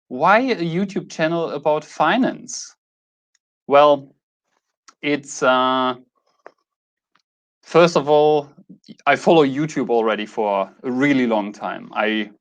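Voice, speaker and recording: A man in his thirties speaks English with a German accent. His pitch sits in an ordinary middle range for a male voice. He talks casually, as a YouTuber does to viewers, feeling his way through sentences and often rewording them. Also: a bit bright. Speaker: YouTube host. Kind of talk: casual talk to camera, introducing a channel.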